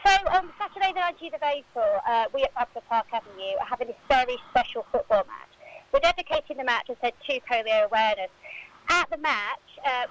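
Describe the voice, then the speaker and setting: A woman's voice: fairly high pitch, a bit bright, rough recording. A woman speaking as a football club spokesperson, giving answers in a radio interview.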